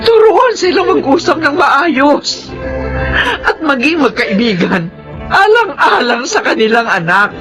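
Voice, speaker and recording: Casting: older mother, high animated voice, worried peacemaker, radio melodrama. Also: unhurried, over music. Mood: admonishing. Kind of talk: Filipino radio melodrama acting.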